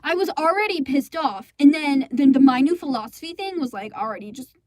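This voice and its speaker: A young woman with a high voice tells a story casually, like a rant to friends. She is animated and exasperated and swears a lot, acting out bits of dialogue. The recording is close and dry, from a small padded space. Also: fairly quick, no room sound. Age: young adult. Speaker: storyteller and amateur stage performer. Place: small indoor recording space with acoustic foam.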